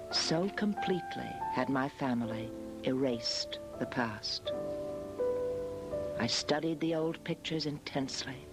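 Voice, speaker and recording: A woman delivers scripted first-person documentary narration. She speaks at a very unhurried pace, measured and reflective throughout. Music is clearly audible behind her voice. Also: deep voice. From United States, after early childhood in Australia. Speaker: photographer narrating her family history.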